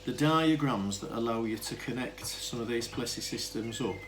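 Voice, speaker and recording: A man's voice: medium pitch, normal speed. A man gives an informal, unscripted demonstration, explaining things in a hesitant, rambling way and often interrupting himself. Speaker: hobbyist demonstrator of vintage telephone equipment. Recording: clearly noisy amateur camera recording.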